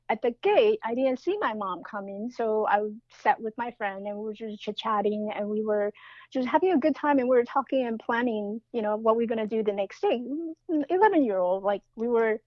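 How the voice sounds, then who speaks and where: A woman's voice: on the high side, no echo, muffled recording. A woman, telling a personal story as a podcast guest in an earnest way, often restarting her phrases. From Taiwan. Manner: earnest, with frequent restarts and fillers.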